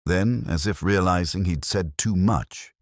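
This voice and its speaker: A man narrating a scripted first-person detective story in a measured, literary, brooding way. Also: low-pitched, dry recording, clean studio sound.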